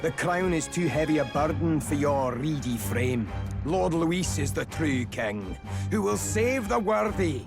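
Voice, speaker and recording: A man's voice: on the high side, lively intonation, unhurried. An actor playing a cold, murderous henchman in a fantasy video game, speaking formally and haughtily in blind loyalty to his lord. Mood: scornful. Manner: haughty, menacing, formal speech. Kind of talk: scripted video game voice acting. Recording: loud music behind. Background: game soundtrack music.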